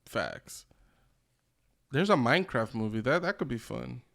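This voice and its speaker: A man's voice: medium pitch, expressive, unhurried, gravelly, fairly bright tone. A man co-hosting a casual podcast chat, talking emphatically with slang and swearing. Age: adult with a child. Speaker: podcast co-host.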